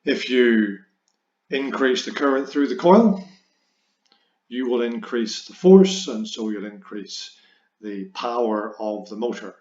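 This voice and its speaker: A man's voice: medium pitch, animated, slow pace, a little mellow. Male physics teacher in an echoey room. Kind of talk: explanatory science lesson. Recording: roomy echo, dull lo-fi sound.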